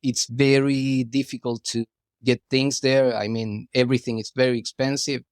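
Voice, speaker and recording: A man's voice: mid-range voice, level tone, normal speed, dry recording, clean studio sound. A middle-aged Argentine man, a guest artist, chatting loosely in an interview, restarting phrases and saying "you know" often. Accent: Argentine Spanish. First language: Spanish. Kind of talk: casual interview chat.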